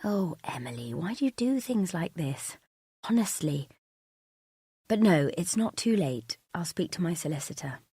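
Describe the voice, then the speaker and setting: A woman's voice: sits low, expressive, normal speed, few pauses, mellow, husky, no room sound, full character voice. A woman voicing a long-suffering but kind younger sister in an audiobook story, weary and reassuring.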